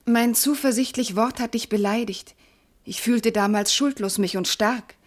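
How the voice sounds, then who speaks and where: An actress playing a devoted, anguished noble wife in a classical radio drama, declaiming formal verse with fervour. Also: female voice, a little high, unhurried. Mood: pleading. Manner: declamatory, fervent, formal diction. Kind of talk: classical German stage verse in a radio play.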